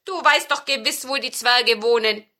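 A woman's voice: fairly high pitch, normal speed, pushed and bright. A woman voicing a kindly old male broom in a storybook, speaking earnestly and with emphasis. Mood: earnest, asking.

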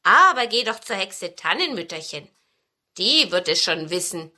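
A woman voicing a polite, cautioning fox in a storybook, with emphatic, drawn-out words. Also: mid-range voice, sing-song, sharp tone, exaggerated voice. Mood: advising.

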